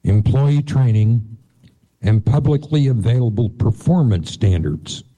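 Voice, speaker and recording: A man moderating a candidate forum, reading questions in a measured, formal way. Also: medium pitch, animated, mellow, low-quality recording.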